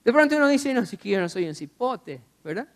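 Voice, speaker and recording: A man's voice: very high voice, big pitch swings, quick pace. A man preaching a sermon, exhorting the listener with repeated phrases and rhetorical questions.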